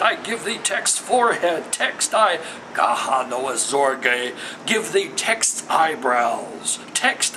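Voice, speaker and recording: A man's voice: on the high side, sharp tone, slight background noise, poor audio. A man giving a dramatic, incantatory poetry reading in a declamatory, emphatic, theatrical manner. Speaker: poet reading own work.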